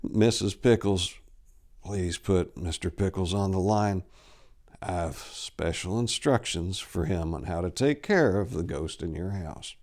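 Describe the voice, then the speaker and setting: A man playing a drunk, irritated young priest in a story reading, placating with halting, weary politeness. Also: sits low, darkish tone.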